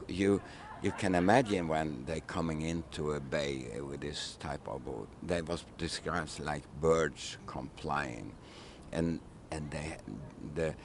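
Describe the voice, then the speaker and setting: An older man with a very deep voice speaks slowly, searching for his words in broken English. He is interviewed outdoors at a waterfront, talking into a handheld mic, with faint outdoor noise behind him. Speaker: ship captain. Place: outdoors on a wooden ship at a city waterfront. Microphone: handheld mic held by interviewer.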